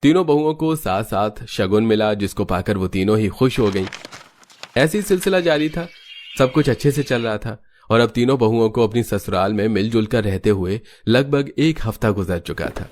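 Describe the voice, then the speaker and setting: A man's voice: lowish voice, expressive, normal speed, a little mellow. Male cartoon story narrator, faint noise.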